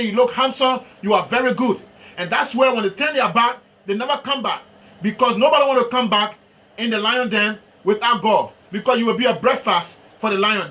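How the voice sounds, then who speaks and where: Liberian male preacher, very high bright voice, slow emphatic sermon delivery.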